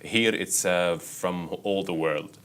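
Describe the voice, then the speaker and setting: A man with a low-pitched voice and a Syrian Arabic accent gives casual interview answers. He speaks in a halting way, pausing often as he searches for the right word. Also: a bit bright, smooth. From Syria, Damascus. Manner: halting, frequent pauses searching for words. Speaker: interview guest, actor and content creator.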